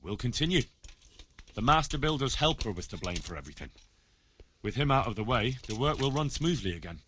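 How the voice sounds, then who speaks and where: An earnest young investigator from a medieval video game. His mid-pitched voice moves around a lot and he presses his point stubbornly. There is faint noise behind him. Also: sing-song, fairly quick, a bit bright. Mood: assured. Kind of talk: video game drama acting.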